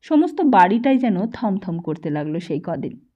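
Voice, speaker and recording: A woman's voice: medium pitch, big pitch swings, normal speed, slightly rough, muffled recording. A woman narrator reading a literary story as a scripted audiobook.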